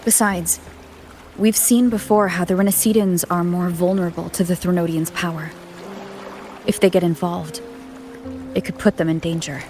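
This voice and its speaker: Low-voiced, serious young heroine played by an actress. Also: female voice, fairly even intonation, normal speed, long pauses, a little mellow, soft background music, close to an everyday voice. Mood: serious, pensive. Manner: terse, matter-of-fact, serious. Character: cool, guarded, resolute young female adventurer. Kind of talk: video game voice acting.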